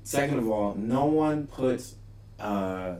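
A man's voice: medium pitch, animated, slow pace, darkish tone, distant mic. A man co-hosting a casual chat, joking and mock-indignant. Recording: echoey room with crowd noise behind.

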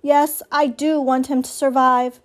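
A woman's voice: sits high, level tone, unhurried. A woman voicing a friendly female robot in a storybook, speaking in a formal, precise, earnest way. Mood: flat, factual.

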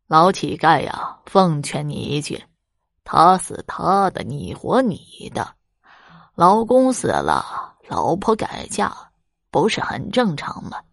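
Mocking old beggar man, low and gravelly, drawn out, read by a woman storyteller. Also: sing-song, dry recording, studio quality, full character voice. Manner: slow, drawling, mocking. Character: scornful old male beggar, secretly an underworld official.

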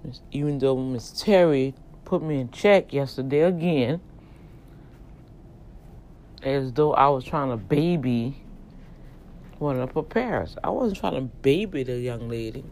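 A podcast host with a Louisiana accent who talks in a slow, deliberate teaching manner during a casual monologue. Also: mid-range voice, expressive, a little mellow, slight background noise, low-quality recording. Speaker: podcast host and tax office manager.

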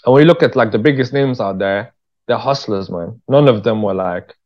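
A man's voice: lowish voice, fairly quick, highs cut off. A British man talking as an interview guest in an informal, slangy, emphatic way, often checking that the listener follows. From Birmingham, England. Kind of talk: casual conversational interview.

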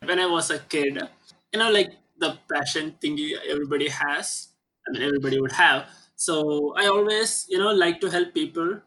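A man's voice: on the high side, moderate pace, bright, forceful tone, a little hiss or hum, poor audio. A man in his twenties with an Indian accent, talking casually and hesitantly as an interview guest. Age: 20s. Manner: hesitant, lots of fillers like "you know".

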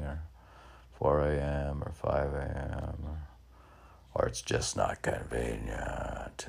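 Older guy in his 60s, super low creaky voice, rambly solo poetry reading, keeps stopping and asking himself stuff. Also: darkish tone. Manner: halting, self-questioning, repeats phrases. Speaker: poet reading own work and commenting. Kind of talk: rambling solo monologue mixed with poem reading.

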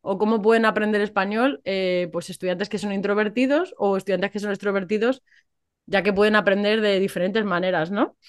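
A woman's voice: mid-range voice, steady tone, fairly quick. A woman from hosting a casual podcast, chatty and often checking for agreement with little tag questions. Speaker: podcast host.

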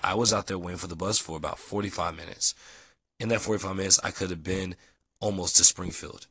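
A young man with a very low, husky voice that sounds nasal and dried out from a cold. He rambles along casually with plenty of ums, bright and forward in tone. The sound is close and dry. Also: a little fast, dry recording. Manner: relaxed, rambling with many ums. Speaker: vlogger. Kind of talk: casual audio log.

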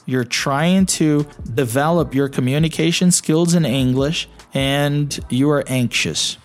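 A man's voice: a little high, measured pace. A man from Brazil with a Brazilian accent, hosting an English-learning podcast in a conversational, encouraging teaching style, often checking in with "you know" and "okay?". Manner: encouraging, asks listeners questions, frequent "you know" and "okay?".

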